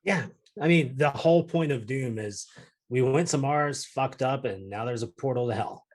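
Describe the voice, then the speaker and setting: Husky guy chatting on a podcast panel, blunt n emphatic, swears a bit. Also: medium pitch, normal speed, darkish tone. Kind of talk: casual chat, film and game discussion.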